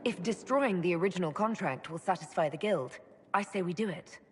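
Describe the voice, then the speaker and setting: An actress playing a composed, decisive leader in a video game, speaking in a dry, direct and skeptical way. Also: female voice, lowish voice, fairly quick, a little mellow, slightly rough. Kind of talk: video game cutscene acting.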